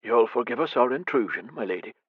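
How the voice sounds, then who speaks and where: A man voicing a grizzled older Irish stablemaster who speaks gently with a lilting, upward inflection. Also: medium pitch, sing-song, brisk, dry recording, phone-quality sound, pitched above the performer's own voice. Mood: reassuring.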